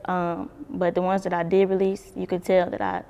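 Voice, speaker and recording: Young woman, low gravelly voice, relaxed and profane interview talk. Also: moderate pace, in a large hall. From Baton Rouge, Louisiana. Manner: laid-back, swears freely, laughs often. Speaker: singer, interview guest. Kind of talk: casual interview chat.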